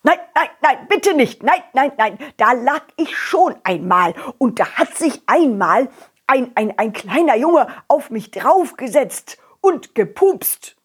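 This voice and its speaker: A woman's voice: sits high, big pitch swings, slow pace, breathy edge, exaggerated voice. A woman voicing a grumpy, boastful big male boulder in a children's audio story, blustering and whiny.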